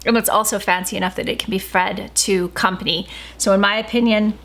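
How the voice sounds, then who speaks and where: A woman chatting casually as she cooks in her home kitchen for a vlog, relaxed, with a sore throat from talking all day. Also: mid-range voice, brisk. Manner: chatty, relaxed, with filler ums. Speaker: vlogger cooking at home. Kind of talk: casual cooking vlog chat.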